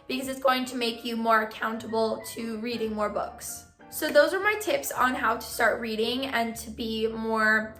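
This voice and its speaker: Young woman, slightly hoarse, higher voice, casual friendly tips, echoey room, soft music underneath. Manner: friendly advice-giving, filler "like". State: partly lost voice, hoarse. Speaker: vlogger. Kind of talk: casual vlog talk to camera.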